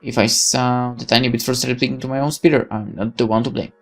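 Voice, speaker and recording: A man's voice: medium pitch, quick pace, faint music behind. A man reading a visual novel's text aloud as dramatic, sardonic first-person narration. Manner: expressive, sardonic. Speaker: let's player reading game text.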